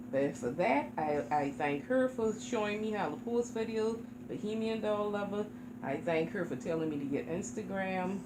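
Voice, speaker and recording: Woman, 40s, chatty doll vlogger, reverberant room with household noise. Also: deep voice. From Charleston, South Carolina. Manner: chatty, rambling, informal. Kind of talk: casual chat to camera. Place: home room. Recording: echoey room with background noise.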